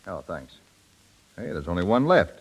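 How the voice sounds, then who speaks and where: A man's voice: deep voice, big pitch swings, normal speed, slightly rough, muffled recording, exaggerated voice. An actor playing a bashful, easygoing young man in a 1930s radio drama, slangy and given to stammering. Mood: sheepish. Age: young adult.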